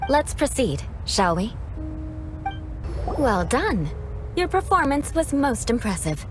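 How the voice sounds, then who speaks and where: A poised aristocratic knight. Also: female voice, a little high, sing-song, takes it slowly. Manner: formal, poised and dryly teasing. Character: proud young aristocratic female knight, composed and aloof. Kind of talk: game cutscene voice acting. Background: game music.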